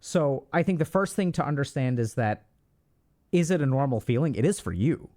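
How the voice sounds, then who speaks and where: A man's voice: mid-range voice, expressive, moderate pace, mellow. A man hosting a casual conversation, speaking directly and confidently while he thinks aloud. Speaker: host.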